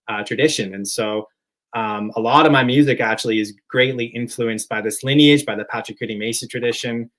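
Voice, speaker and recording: Earnest man chatting on a call. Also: medium pitch. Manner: earnest, warm, lots of "like" fillers. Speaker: musician guest. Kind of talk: casual interview chat.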